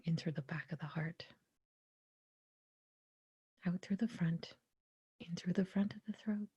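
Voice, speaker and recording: Woman, meditation guide, soft and breathy, near whisper, dark relaxed tone, gentle, unhurried, short phrases with pauses. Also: fairly low pitch, no room sound, dull audio. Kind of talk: guided meditation, soothing spoken instruction.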